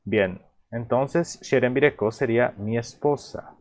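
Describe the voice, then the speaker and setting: A man from Paraguay with a Paraguayan Spanish accent and a Guarani mother tongue, teaching phrases in a relaxed, halting way with many repeats and restarts. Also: mid-range voice. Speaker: language teacher. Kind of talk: explaining vocabulary in a video lesson.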